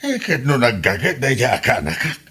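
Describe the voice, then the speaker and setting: A man playing a sensible elder brother with an Ilocano accent in a comedy drama, speaking in an animated, colloquial way. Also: medium pitch, moderate pace, husky, exaggerated voice. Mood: doubtful, advising. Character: sensible, protective elder brother in village family.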